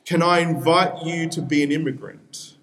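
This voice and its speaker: A man's voice: on the high side, steady tone, normal speed, fairly bright tone. A young man from Peru whose first language is Spanish, preaching a sermon as pastor in an earnest way with repeated appeals.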